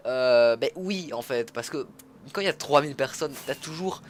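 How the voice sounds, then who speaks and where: A man's voice: mid-range voice, moderate pace, a little hiss or hum. A young man from Alsace, chatting casually while streaming a game, greeting viewers and correcting himself mid-sentence. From Alsace, France. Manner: chatty, self-interrupting, greets chat viewers. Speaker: livestreamer. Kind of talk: casual gameplay livestream commentary. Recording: music playing behind the voice.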